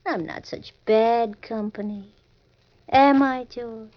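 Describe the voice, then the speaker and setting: High, baby-voiced femme fatale. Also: female voice, slow pace. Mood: sweetly menacing. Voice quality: babyish voice. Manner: coy, ladylike, coolly mocking. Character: scheming young femme fatale, sweetly menacing, money-hungry. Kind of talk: old-time radio drama acting. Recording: dull vintage radio recording.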